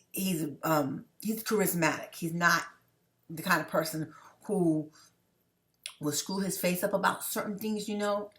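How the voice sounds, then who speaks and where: A middle-aged woman from New York City talks to her viewers in a personal monologue, with a voice that sits a little low. She rambles and circles back on herself, starting sentences over and over. She keeps pausing to check in with the listener. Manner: rambling, restarts often, keeps asking the viewers "okay?". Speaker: vlogger.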